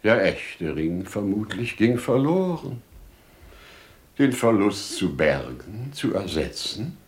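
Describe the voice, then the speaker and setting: Deep, slow, wise old merchant. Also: male voice, very animated. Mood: earnest, judicial. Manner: measured, declamatory, deliberate. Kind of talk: 1950s German radio drama, theatrical verse delivery.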